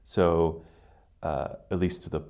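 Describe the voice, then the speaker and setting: American male podcast guest, low-pitched, conversational, reflective explainer. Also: fairly even intonation, slow pace, a little mellow. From United States. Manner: reflective, explanatory, often checks in with "right?". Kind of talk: casual conversational interview.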